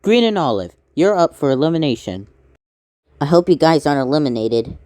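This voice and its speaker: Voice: medium pitch, sing-song, brisk, mellow, no room sound, studio quality. A person doing amateur cartoon voice acting in a theatrical way, switching between characters.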